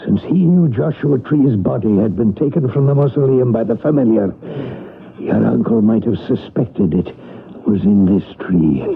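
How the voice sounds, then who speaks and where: An actor playing a stern, solemn priest who confronts evil spirits in a 1970s radio drama. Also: male voice, mid-range voice, lively intonation, moderate pace, vocal fry, husky, exaggerated voice. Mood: grave. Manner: solemn, commanding. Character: stern middle-aged male priest exorcist. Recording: tinny lo-fi old radio broadcast with loud noise.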